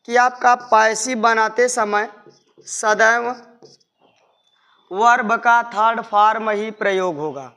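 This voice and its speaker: A male teacher delivers an explanatory lesson in a bright, forward voice. His speech is slow and very clean in tone. He goes through each point methodically, restating it as he goes. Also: very high voice, clean tone. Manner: methodical, repetitive step-by-step explaining. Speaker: English grammar teacher. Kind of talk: explanatory classroom-style lesson.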